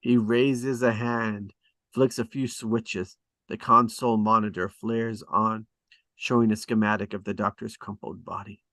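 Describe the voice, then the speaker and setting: Low-voiced man reading stage directions. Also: flat delivery, a little slow, fairly bright tone, no room sound, clean studio sound. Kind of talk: scripted narration at a table read.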